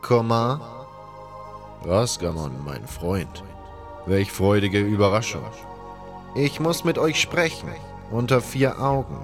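A man's voice: fairly low pitch, expressive, takes it slowly, pauses often. A man voicing solemn elders of an ancient city council in formal, grave fantasy game dialogue. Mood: grave, urgent. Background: music.

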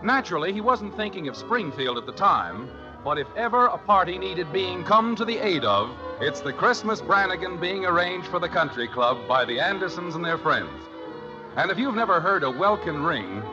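Droll male radio announcer over music. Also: a little high. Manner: droll, mock-grand. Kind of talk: scripted comic narration, old-time radio. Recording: dull old radio recording, music behind.

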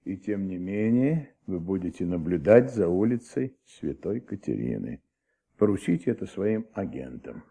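Brooding police commissioner, gravelly and mellow. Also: male voice, low-pitched, sing-song, normal speed, heavily acted. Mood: weary, businesslike. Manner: muttering, pondering aloud in rhetorical questions. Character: brooding middle-aged French police commissioner, stubborn detective. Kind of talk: Soviet radio play acting.

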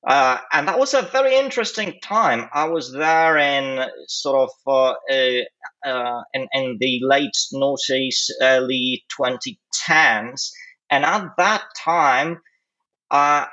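Bright, forceful man, hesitant phrasing. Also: mid-range voice, slow pace, dull audio. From Poland. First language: Polish. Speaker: interview guest. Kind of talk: conversational analysis in an interview.

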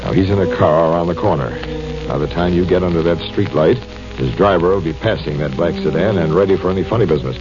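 An actor playing a gruff, dry-humored male police homicide captain in a 1940s radio drama. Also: low voice, fast, natural voice. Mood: tense. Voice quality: gruff, dry, matter-of-fact. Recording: dull old radio recording, faint music.

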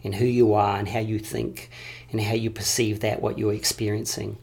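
A man's voice: on the low side, little pitch movement, normal speed, creaky, darkish tone. A man guest in an interview, talking earnestly and reflectively, often repeating phrases as he builds a point. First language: English.

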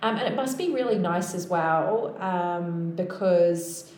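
A woman's voice: lowish voice, slow pace, a little mellow, a little hiss or hum. A woman with a New Zealand accent hosting a podcast, chatting casually and finishing her guest's sentences. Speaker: podcast host. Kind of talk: casual interview chat. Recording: echoey room.

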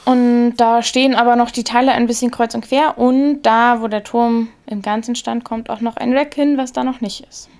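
A woman co-hosting a casual podcast chat about a gym, quick-witted and teasing. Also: on the high side, steady tone, darkish tone. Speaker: podcast co-host, gym trainer.

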